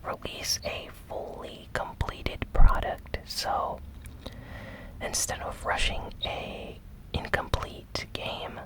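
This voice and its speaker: A host whispering a gaming news recap in a gentle, deliberate, breathy ASMR style. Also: low-quality recording. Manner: slow, gentle, deliberate delivery with short phrases.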